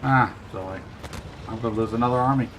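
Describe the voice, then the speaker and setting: A man streaming a strategy game who thinks aloud in a relaxed way, his voice a little raspy and tired. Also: medium pitch, fast, background noise. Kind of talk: live gameplay commentary.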